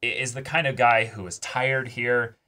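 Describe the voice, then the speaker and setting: A man's voice: mid-range voice, steady tone, normal speed, bright, forceful tone. A man in a casual discussion as a reaction show host, reflective and hesitant as he searches for words. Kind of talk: casual discussion of an anime episode.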